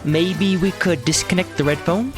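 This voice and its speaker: A man's voice: high voice, steady tone, moderate pace, faint music behind, close to an everyday voice. A man playing a helpful, dry-witted sidekick in a comedy radio play. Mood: matter-of-fact.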